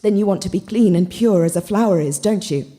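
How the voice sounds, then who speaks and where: A woman's voice: medium pitch, a little fast, mellow, voice pushed up, lightly acted. A woman voicing a bossy, zealous thirteen-year-old girl in a storybook reading, with a commanding, solemn manner.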